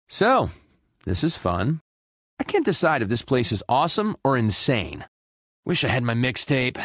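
Cocky goofball space outlaw for a video game, sarcastic quips, highish voice swinging all over, dry studio sound. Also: male voice, fairly bright tone, no echo, heavily acted. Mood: bored, wry. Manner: flippant, sarcastic, playful swagger. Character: cocky, goofy wisecracking space outlaw hero, adult man. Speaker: game character voice actor.

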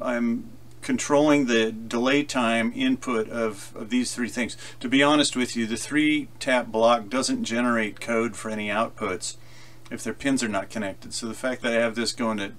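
A man explaining software in a casual, halting tutorial style, thinking aloud as he demonstrates. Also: medium pitch. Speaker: tutorial presenter. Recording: loud guitar effects music over voice. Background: loud electric guitar with delay effects.

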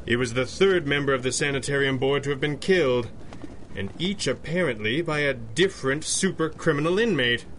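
An actor as a suave gentleman masked hero in an old-time radio adventure. He speaks in a bright, forceful tone, formal and wryly commanding. Some background ambience sits under his voice. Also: mid-range voice, expressive, a little fast, slight background noise, lightly acted. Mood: grave, brisk. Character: suave, unflappable gentleman masked superhero boss. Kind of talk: 1930s radio drama acting.

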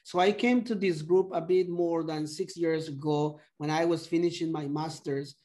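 Colombian guy on a video call, kinda high voice, easygoing intro. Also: steady tone, normal speed, mellow. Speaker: panelist, moderator. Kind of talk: academic conference presentation.

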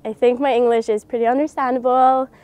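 A woman in her twenties from Norway speaks in a slightly high, clear voice. She chats easily and laughs readily while answering. The setting is an outdoor park. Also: flat delivery, fairly quick, darkish tone. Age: 20s. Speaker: student interviewee.

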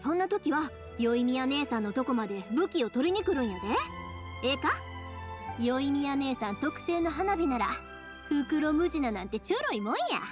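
A woman voicing a cheerful, big-sisterly young fireworks maker in an anime game, with a Kansai accent and a lively, reassuring, colloquial way of talking. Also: high-pitched, normal speed, music in the background. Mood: encouraging. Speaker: video game character voice actor. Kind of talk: anime-style game dialogue.

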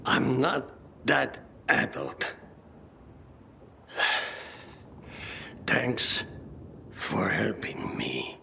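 A man's voice: on the low side, big pitch swings, creaky, sharp tone, a little hiss or hum, full character voice. An actor playing a wounded, stubborn old man who is dying, stammering weakly and short of breath in a video game. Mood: pained, insistent. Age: older. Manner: halting, stammering speech broken by pauses. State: weak, breathless, coughing, in pain. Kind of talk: dramatic video game acting.

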